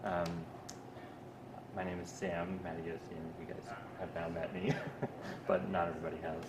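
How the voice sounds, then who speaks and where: A man interviewing people in an art gallery, relaxed and hesitant with many fillers. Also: low voice, moderate pace. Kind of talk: casual interview talk. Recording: echoey gallery with background noise.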